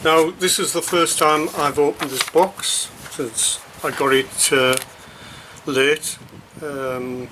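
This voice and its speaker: A man giving an unscripted, matter-of-fact unboxing commentary, halting as he thinks aloud. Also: a little high, fairly even intonation. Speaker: radio hobbyist presenting an unboxing. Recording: noticeable background noise, crinkling packaging handling.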